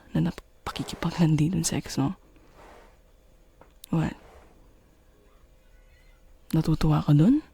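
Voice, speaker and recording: A woman's voice: low voice, dark tone, heavily acted. A woman with a Filipino accent playing a jealous, domineering girlfriend in an intimate ASMR roleplay, questioning and commanding in mixed Tagalog and English. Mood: jealous, confronting. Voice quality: husky, breathy. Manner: slow deliberate questioning, commanding, switching between English and Tagalog. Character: jealous, domineering girlfriend scolding her partner.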